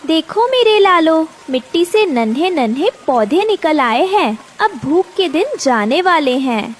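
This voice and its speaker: A woman playing a humble cartoon mother bird with a very high, lilting voice. She speaks slowly and earnestly, with a plaintive edge, over faint background noise. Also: sing-song, exaggerated voice. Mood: hopeful, tender. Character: poor, devoted mother bird, humble and hopeful. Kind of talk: children's cartoon voice acting.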